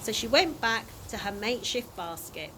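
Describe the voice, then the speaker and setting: Female storybook reader, high and lively, expressive delivery for children, audible background noise. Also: normal speed. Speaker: early years practitioner reading to children. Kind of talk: expressive storybook reading aloud.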